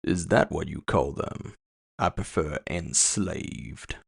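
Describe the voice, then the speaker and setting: A man voicing a gloating masked villain in audio fiction, with a hollow murmur and slow, mockingly courteous boasting. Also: low-pitched, animated, creaky, breathy edge, exaggerated voice. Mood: menacing amusement. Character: gloating, theatrical masked male villain with mind-control powers.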